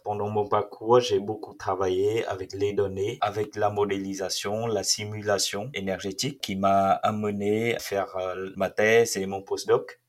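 Hesitant young man. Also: sits low. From Vietnam. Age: 20s. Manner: hesitant, many filled pauses. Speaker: researcher and startup founder. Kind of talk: first-person testimony.

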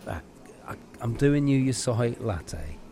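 A man's voice: medium pitch, sing-song, slow pace, a crowd in the background. A man playing a meek, stammering coffee shop worker in an improvised comedy sketch, repetitive and over-apologetic but prone to sweary rage.

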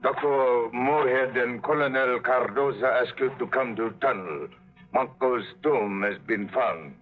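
A man's voice: medium pitch, soft background music, natural voice. A man doing a stoic, curt Inca laborer. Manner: slow, clipped, broken phrasing. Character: stoic male Inca digger, curt and proud. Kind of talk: 1950s radio drama acting. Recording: tinny old radio broadcast.

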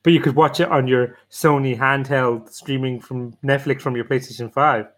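A man's voice: mid-range voice, steady tone, moderate pace, fairly bright tone. A man bantering casually as a panelist on a podcast.

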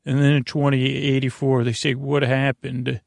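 A man with a heavily creaky, gravelly voice of medium pitch hosts a bedtime podcast. He wanders off on whimsical tangents, interrupting and doubting himself with self-deprecating humour. The recording is close and dry, with very little room sound. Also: flat delivery, normal speed, no echo. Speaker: podcast host. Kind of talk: rambling bedtime sleep podcast monologue.

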